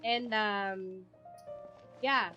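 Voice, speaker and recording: A woman with a Filipino accent giving casual live game commentary, halting as she thinks aloud. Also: medium pitch, steady tone, pushed and bright, highs cut off. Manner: thinking aloud, halting, switching between English and Tagalog. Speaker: game streamer. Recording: game music underneath.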